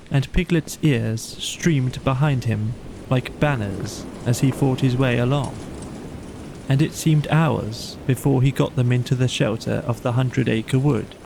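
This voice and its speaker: A man's voice: mid-range voice, vocal fry, noisy background. A man narrating a children's bedtime story from a script as an audiobook reader.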